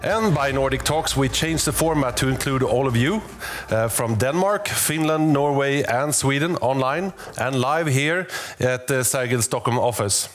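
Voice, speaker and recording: Male moderator, mid-pitched and welcoming, upbeat intro with faint music behind. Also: lively intonation, unhurried. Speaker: event moderator. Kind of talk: scripted event welcome. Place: office event space.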